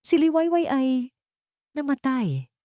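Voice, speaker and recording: Woman voicing a sorrowful, halting mother. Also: high-pitched, big pitch swings, mellow, no echo, studio quality, pitched above the performer's own voice, heavily acted. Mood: grieving confession. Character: sorrowful, guilt-ridden mother confessing a family secret.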